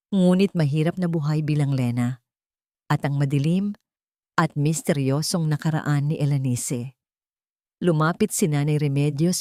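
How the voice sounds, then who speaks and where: A woman with a fairly low voice narrates a dramatic story. Her phrasing is solemn and deliberate, and the recording is dry and close. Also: darkish tone, no room sound.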